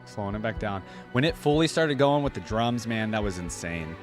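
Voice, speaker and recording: A man with a fairly low voice hosts a reaction video in an informal, slangy way. His commentary is casual and enthusiastic, with faint game music playing behind him. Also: expressive, normal speed.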